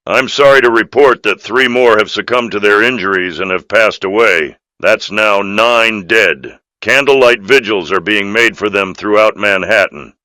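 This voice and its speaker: A man's voice: lowish voice, normal speed, few pauses, bright, forceful tone, no echo, poor audio. A man voicing an earnest, somber city official reporting grave news in fan-made game dialogue.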